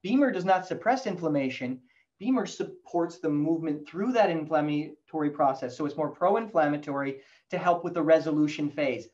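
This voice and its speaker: A man's voice: on the high side, moderate pace, highs cut off. A man in his forties giving a fluent technical explanation as a guest expert on a video call. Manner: fluent, lecturing, animated gestures. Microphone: video call webcam.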